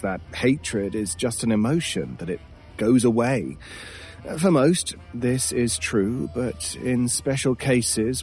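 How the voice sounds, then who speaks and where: A world-weary British stranger in an audio horror drama. He speaks slowly and broodingly, with wry asides and weighty pauses, like someone haunted. Soft music runs behind him. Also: medium pitch.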